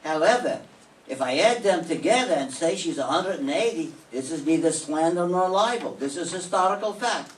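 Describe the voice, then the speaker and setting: A man's voice: fairly high pitch, expressive, moderate pace, close to an everyday voice. An older man reading the part of a pedantic, cheeky lodger in a radio sitcom, lecturing in a mock-scholarly way, recorded from far off in a roomy classroom. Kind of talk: 1940s radio sitcom acting.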